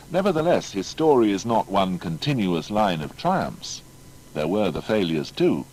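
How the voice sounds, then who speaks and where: Male narrator for audio biography, very low and animated, formal emphatic storytelling, faint noise behind. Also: poor audio. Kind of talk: scripted documentary biography narration.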